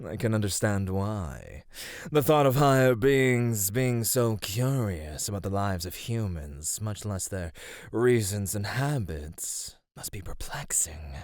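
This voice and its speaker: A man playing a flirty, amused god of radiance in an ASMR roleplay, teasing and theatrical, with soft laughs. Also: on the low side, animated, takes it slowly, no echo, studio quality.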